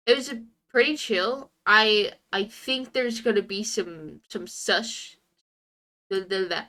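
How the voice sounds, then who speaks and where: Bright-voiced young woman, stuffed-up nose. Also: on the high side. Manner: scattered, muttering asides to herself. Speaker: streamer. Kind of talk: casual live stream chat while playing a game.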